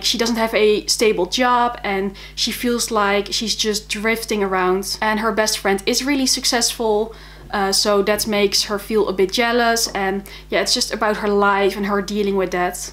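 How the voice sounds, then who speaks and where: A woman's voice: medium pitch, fairly bright tone. Chatty Dutch-accented woman reviewing books. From Netherlands. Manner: chatty, enthusiastic, many fillers like "um" and "like". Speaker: book vlogger. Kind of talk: casual monthly reading wrap-up.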